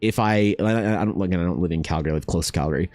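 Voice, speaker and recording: Young man, low voice, blunt rambling opinions, casual chat. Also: very fast, a little mellow, no room sound, faint music behind. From Canada. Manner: opinionated, rambling, swears freely, many restarts. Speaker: art vlogger.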